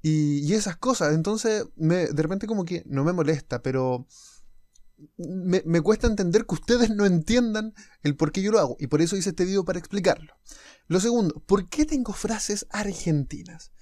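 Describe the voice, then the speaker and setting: A man's voice: high voice, lively intonation, normal speed, darkish tone, dry recording, studio quality. A young man from Chile with a lightly Chilean accent, talking casually to his viewers over gameplay as a gaming YouTuber. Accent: Chilean Spanish, softened toward neutral. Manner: casual, direct, explaining himself to viewers. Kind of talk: unscripted talk to camera over gameplay.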